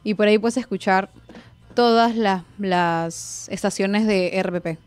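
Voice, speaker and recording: A woman's voice: mid-range voice, a little slow, clear, resonant tone. A woman in her twenties with a Peruvian accent, hosting a casual live radio chat in a playful, informal manner. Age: 20s. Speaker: radio host. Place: small radio studio, indoors. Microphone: studio microphone on boom arm.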